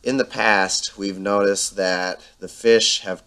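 A man calmly narrating his fishing video in a voice-over, explaining with frequent ums. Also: fairly low pitch, takes it slowly. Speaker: angler and channel host.

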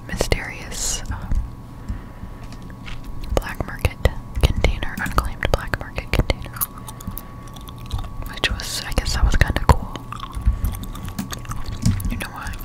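Woman, soft unvoiced whisper, close mic, gum chewing, casual ASMR gaming commentary. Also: slow, long pauses, poor audio. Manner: chewing gum while talking. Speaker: streamer playing a video game. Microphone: close-up desktop studio microphone.

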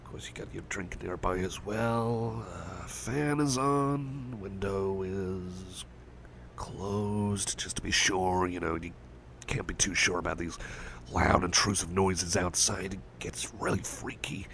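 A man plays a caring young cyborg friend in a soft ASMR roleplay, speaking low with a gravelly fry and a rambling, hesitant warmth. He slips into whispers now and then. Faint noise hums under the recording. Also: steady tone, slow pace, full character voice. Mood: soothing. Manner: soft, hesitant and rambling, with many fillers and restarts; drops to soft whispers at times. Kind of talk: ASMR roleplay, personal attention.

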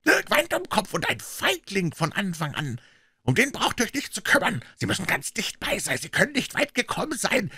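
A blind pirate chief in a storybook reading. The voice leaps high above a normal male register and swings wildly. He barks commands and spits curses with furious, bullying scorn. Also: wildly swooping pitch, a little fast, husky, no echo, clean studio sound, exaggerated voice. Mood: scornful, raging. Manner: shouted commands and scornful cursing. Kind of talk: dramatic audiobook character reading.

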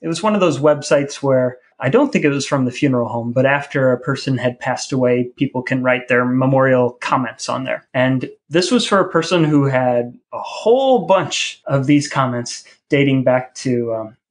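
A man's voice: mid-range voice, moderate pace, hardly any pauses. A man hosting a podcast, talking in a casual, conversational way. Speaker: podcast host. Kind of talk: casual podcast talk between brothers.

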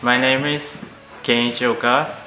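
A man from Japan, a native Japanese speaker, giving short, halting answers in simple English to an interviewer in an indoor school room. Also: medium pitch, flat delivery, over music. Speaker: furniture student. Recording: echoey room, faint noise.

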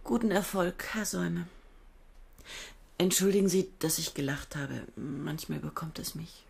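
An actress playing a tired, self-mocking woman scientist in a radio play, talking quietly and often near a whisper. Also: lowish voice, fairly even intonation, moderate pace, vocal fry, breathy edge, full character voice. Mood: weary. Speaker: lead character and narrator. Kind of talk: 1970s German radio play acting. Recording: old radio play with loud hiss.

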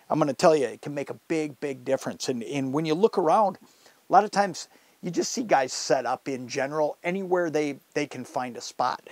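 A man's voice: on the high side, darkish tone, no room sound. A middle-aged man giving casual, instructional fishing commentary in a folksy, enthusiastic, conversational way. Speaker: fishing show host. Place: inside a fabric ice-fishing shelter.

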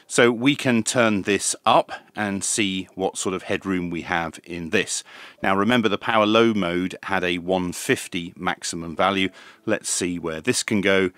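Low-voiced man, measured reviewer. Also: a bit bright, no room sound. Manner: measured, methodical step-by-step explaining. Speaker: presenter of radio equipment reviews. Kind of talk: product review and demonstration. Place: small indoor home radio workshop.

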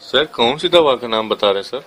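A man's voice: mid-range voice, lively intonation, a little fast, fairly bright tone, slight background noise. A man in a casual phone conversation, speaking as a shop worker in a colloquial, slangy, argumentative manner. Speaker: pharmacy worker taking a phone order.

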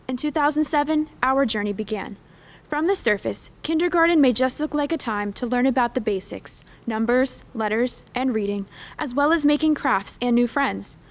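A young woman in her late teens with an American accent, reading a prepared commencement speech as a student at a podium microphone. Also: fairly high pitch. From United States. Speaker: graduating student speaker. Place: school auditorium stage.

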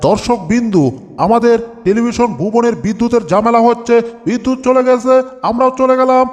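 A man voices a silly cartoon weatherman with a very high voice. He announces with mock formality and comic bungling. Music and long reverb accompany him. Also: fairly even intonation, fairly quick. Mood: mock-serious. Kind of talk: mock news broadcast in Bengali cartoon dub.

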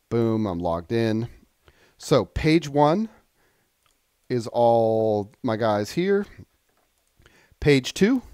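A man demonstrating software in a casual, conversational tutorial style, explaining things informally step by step. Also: lowish voice, dry recording, clean studio sound.

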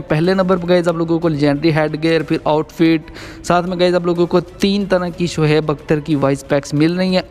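A man's voice: a little high, a little mellow. A man hosting a gaming channel, explaining things step by step in a tutorial voice-over and addressing viewers as guys.

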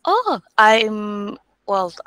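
A woman's voice: mid-range voice, sing-song, drawn-out words, bright, forceful tone, no echo, studio quality. A woman chatting casually in character as a player in a tabletop roleplaying game on a video call.

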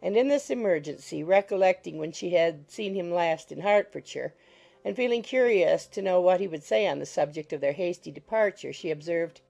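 Woman, gravelly creak, soft dark tone, measured audiobook narration, faint music. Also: fairly low pitch, lively intonation. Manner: measured, formal reading.

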